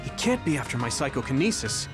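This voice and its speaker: An actor playing an earnest young psychic soldier in a dramatic video game dub. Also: male voice, medium pitch, sing-song, quick pace, natural voice. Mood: wary, determined. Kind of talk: English game dub acting. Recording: dramatic game music behind.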